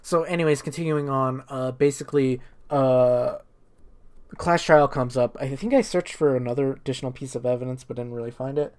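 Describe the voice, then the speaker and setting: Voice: medium pitch, a little fast, a little mellow. A speaker giving a casual, improvised story recap as a gaming streamer, rambling and irreverent with plenty of swearing.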